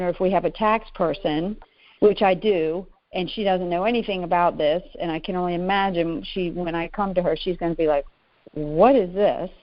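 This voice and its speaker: A middle-aged woman caller talking casually and plainly, hesitant and often restarting her sentences as she asks questions. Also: on the low side. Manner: hesitant, self-interrupting, plain-spoken. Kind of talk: casual question-and-answer talk. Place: conference call. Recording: muffled, narrow-band conference call audio.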